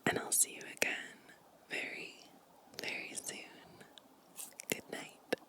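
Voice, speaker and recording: A woman speaking in a soft, breathy whisper, gently and intimately, as in an ASMR show and tell close to the microphone. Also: slow pace, no echo. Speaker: host of an ASMR channel.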